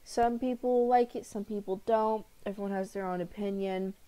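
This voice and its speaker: A woman's voice: mid-range voice, steady tone, moderate pace, dark tone. Young woman, casual gamer commentary, reads on-screen text aloud, desk webcam setup. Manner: chatty, reads on-screen text aloud, clears throat often. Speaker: gaming YouTuber.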